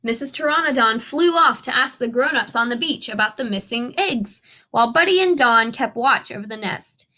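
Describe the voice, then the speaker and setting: A woman's voice: fairly high pitch, expressive, moderate pace, hardly any pauses, bright, forceful tone. A woman teacher reading a children's story aloud expressively, with dramatic emphasis for young listeners.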